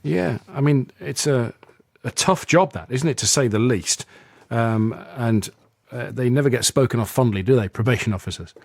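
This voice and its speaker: A man's voice: medium pitch, normal speed, vocal fry, dry recording, clean studio sound. A man hosting an interview on the radio, chatty and asking questions with plenty of tag phrases. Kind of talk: interview host.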